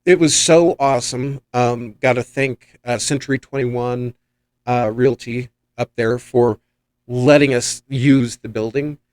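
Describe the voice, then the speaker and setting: A man's voice: mid-range voice, steady tone, a little slow, a little mellow, dry recording. A man hosting a podcast, telling rambling, enthusiastic anecdotes in a casual chat. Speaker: podcast host and paranormal investigator.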